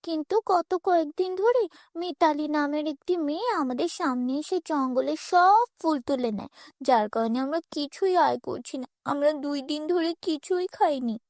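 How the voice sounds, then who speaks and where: A high-voiced, wary elder sister acted by a woman in a fairy-tale cartoon. She is earnest and fearful, in a close, dry recording. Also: animated, normal speed, a little mellow, dry recording. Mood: apologetic, earnest. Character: cautious, fearful elder orphan sister, a poor girl.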